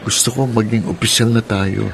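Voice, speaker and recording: Brief: an earnest young suitor, lowish voice, sincere. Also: male voice, steady tone, normal speed, vocal fry, a little hiss or hum. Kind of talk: radio drama acting.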